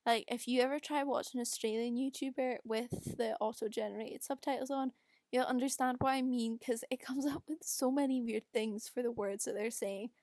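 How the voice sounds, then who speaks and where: Young Scottish female creator, casual rambling voice-over, creaky edge, dry home recording. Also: on the high side, no echo. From Scotland. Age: young adult. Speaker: video creator doing a voice-over. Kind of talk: casual unscripted rambling chat. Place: quiet room at home.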